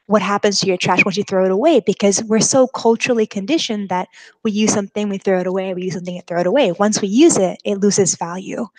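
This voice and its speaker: A young woman with a Californian accent speaks as a guest presenter to school classes. Her delivery is informal and enthusiastic, with youthful, casual phrasing, at a medium pitch for a woman. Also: a little mellow, low-quality recording. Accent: American, Californian. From California, United States. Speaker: guest speaker, explorer and storyteller. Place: live video call with screen sharing.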